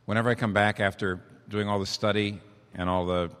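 A hoarse man preaching a sermon as pastor, talking conversationally with emphatic build-ups. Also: on the low side, steady tone, brisk, fairly bright tone. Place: church. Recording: long reverb of a large hall.

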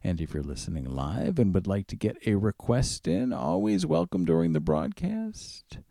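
A man's voice: sits low, very animated, normal speed, gravelly, dark tone, no room sound, clean studio sound. A man hosting a music radio show, presenting in a casual, conversational way. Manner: conversational, informal.

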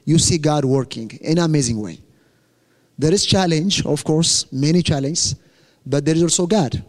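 A man's voice: a little high, moderate pace, long reverb tail. A young man from Ethiopia with an Ethiopian accent, speaking English as a second language while giving an earnest, plain-spoken testimony to a church. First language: Amharic. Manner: earnest, plain-spoken, self-correcting, joking about his accent.

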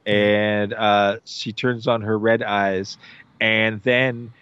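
A man casually recapping a TV episode, rambling with many fillers and animated retelling. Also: fairly low pitch, drawn-out words, smooth, dry recording, highs cut off. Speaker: podcast host recapping a TV episode. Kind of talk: casual chat.

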